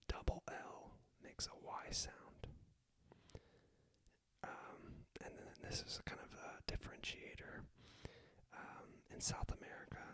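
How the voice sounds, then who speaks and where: Whispering man giving a casual language lesson. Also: fairly bright tone. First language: English. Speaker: video host teaching Spanish basics.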